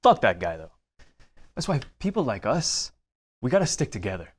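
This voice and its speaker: Man playing a brash young street dealer, wildly varied pitch. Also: huge pitch swings, normal speed. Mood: tough, loyal. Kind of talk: table-read drama acting.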